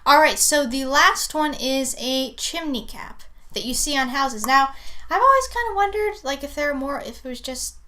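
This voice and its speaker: A woman's voice: high voice, lively intonation, normal speed, bright, forceful tone. A woman co-hosting a casual show, laughing easily and filling gaps with um and uh. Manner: laughs easily, filler-heavy. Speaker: co-host. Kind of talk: casual chat and mock news reading.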